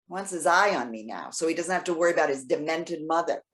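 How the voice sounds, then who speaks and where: Woman acting a stubborn elderly mother, animated pitch. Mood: bitter, hurt. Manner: curt, sullen, halting when pleading. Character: elderly stubborn lovelorn mother, resentful and dejected. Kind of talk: readers theater stage acting.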